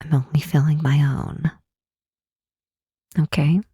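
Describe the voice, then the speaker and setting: A woman playing a gentle, reassuring demon queen in an intimate ASMR roleplay, speaking softly and tenderly with a breathy, husky voice and deliberate pauses. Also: low-pitched, level tone, no echo, studio quality, exaggerated voice. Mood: gentle, caring. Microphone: close mic, dry.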